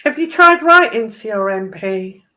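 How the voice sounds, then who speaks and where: A woman talks at an unhurried pace in casual discussion. She is opinionated and says things bluntly, at an average pitch for a woman. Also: lively intonation, sharp tone.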